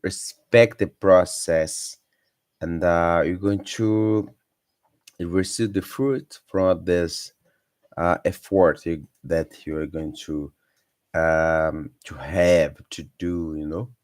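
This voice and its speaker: Man, 30s, low-ish voice, halting learner's English, encouraging vlog tone. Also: fairly even intonation, a little mellow, no room sound, clean studio sound. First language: Portuguese. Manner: hesitant, many fillers and self-corrections, encouraging. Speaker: vlogger sharing language tips. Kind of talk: casual unscripted talk to camera.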